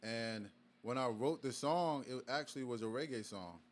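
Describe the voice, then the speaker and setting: Young man, podcast guest, relaxed and halting delivery, middle-pitched voice, casual talk. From Virginia. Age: young adult. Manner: laid-back, halting with fillers and restarts. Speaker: guest artist. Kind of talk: casual interview.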